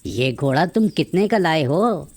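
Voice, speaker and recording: A man's voice: a little high, expressive, measured pace, a little mellow, no echo. A man voicing an eager sweet-seller, bargaining plainly in a cartoon fairy tale.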